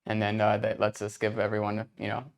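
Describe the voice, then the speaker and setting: A man's voice: on the low side, completely flat delivery, a little fast. A man from the United States with an American accent, hosting an informal webinar in a conversational, hesitant way. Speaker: webinar host.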